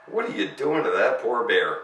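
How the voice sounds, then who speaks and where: A man voicing a kindly, gently fretting mother in a storybook. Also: mid-range voice, very animated, moderate pace, reverberant, far from the mic, slight background noise, pitched above the performer's own voice, poor audio. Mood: alarmed.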